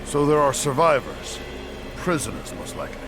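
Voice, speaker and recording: A man's voice: mid-range voice, very animated, moderate pace. A man voicing a grave, fatalistic older dwarf warrior in a video game, speaking with solemn weight. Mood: grim. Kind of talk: video game cutscene acting. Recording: voice over loud cinematic music.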